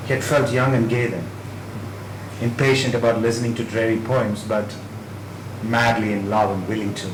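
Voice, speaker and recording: A man reading literary fiction aloud in a dramatic narrating style, with measured phrasing. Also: medium pitch. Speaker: reader at a dramatised book reading. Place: indoor event room at a live reading. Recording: echoey room with clear background noise.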